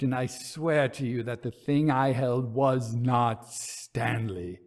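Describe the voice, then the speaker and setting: A man narrating a gothic ghost story in a theatrical, suspenseful, measured manner. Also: mid-range voice.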